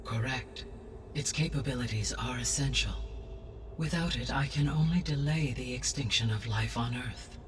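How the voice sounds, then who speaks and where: A calm, benevolent machine mind, played by a man for a game cutscene. The words are formal and precisely measured. The voice sits a little away from the mic, with music clearly playing behind it. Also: medium pitch, far from the mic, natural voice. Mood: measured, explanatory. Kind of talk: scripted game cutscene dialogue.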